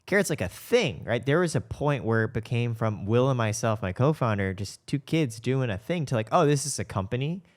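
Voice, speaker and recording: A man's voice: medium pitch, lively intonation, fairly quick, few pauses. A man talking candidly and casually as a podcast host, reflective and given to rhetorical questions. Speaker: show host and company co-founder.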